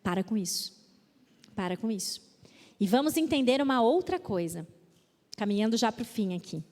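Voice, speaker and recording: A Brazilian woman with a high voice preaches to a women's conference, moving between earnest teaching and playful mock excuses. Her words ring out with the long reverb of a church hall. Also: normal speed. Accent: Brazilian Portuguese. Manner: lively, reads verses with emphasis, mimics excuses playfully. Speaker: conference speaker. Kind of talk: women's conference talk on scripture. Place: church auditorium.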